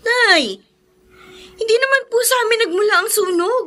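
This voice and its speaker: Very high, anguished Filipino daughter. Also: female voice, expressive, moderate pace, sharp tone, faint music behind, full character voice. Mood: pleading, hurt. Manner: plaintive, emotional. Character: anguished, pleading young daughter. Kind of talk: Filipino radio drama acting.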